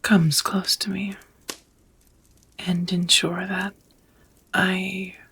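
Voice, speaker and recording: A woman playing a centuries-old vampire roommate in an intimate ASMR roleplay, tender and melancholy, whispering softly with hesitant, formal wording. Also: lowish voice, little pitch movement, extremely slow, full character voice. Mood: melancholy, ashamed. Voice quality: breathy, whispery, soft.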